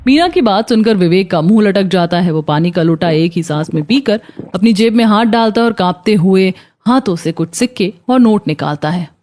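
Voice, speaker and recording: A woman's voice: medium pitch, lively intonation, moderate pace, mellow. A woman narrating a scripted moral story as a cartoon storyteller. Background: faint background music.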